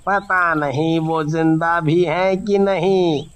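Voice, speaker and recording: Man acting an anxious, slow-talking villager. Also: fairly high pitch, steady tone. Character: anxious male villager, troubled by a monster.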